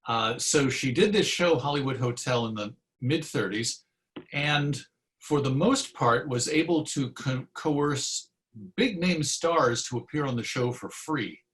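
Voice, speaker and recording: A man's voice: medium pitch, unhurried, fairly bright tone. Male interview guest, mid-range voice. Articulate, discursive storyteller, conversational delivery. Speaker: interview guest, radio historian.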